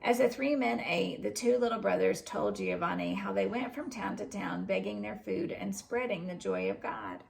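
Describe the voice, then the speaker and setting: A woman narrates a children's storybook aloud. Her voice has heavy vocal fry, and her pitch moves expressively through the sentences at a middle register. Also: huge pitch swings, normal speed, hardly any pauses, darkish tone, rough recording. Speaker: storybook reader.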